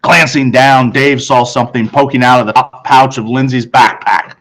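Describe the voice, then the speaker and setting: A man reading a children's story as expressive scripted narration, dramatic and with deliberate pauses. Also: mid-range voice. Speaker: audiobook narrator.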